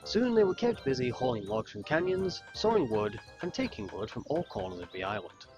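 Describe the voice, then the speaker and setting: A man reading scripted narration for a children's railway audio drama, like a storybook, with a gravelly edge. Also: medium pitch, sing-song, fairly quick, over music, lightly acted. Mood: storytelling.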